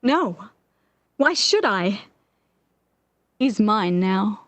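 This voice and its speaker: An actress playing an obsessed, manipulative woman in love, theatrical and deliberate, in 1940s radio drama style. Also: mid-range voice, sing-song. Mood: triumphant, possessive.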